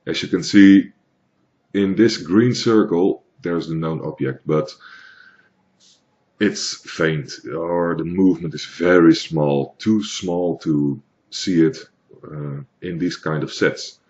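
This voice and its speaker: A man from the Netherlands with a Dutch accent, explaining software in a hesitant, plain tutorial with many fillers. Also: deep voice, takes it slowly, dull audio. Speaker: tutorial maker. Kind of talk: screen-recorded software tutorial.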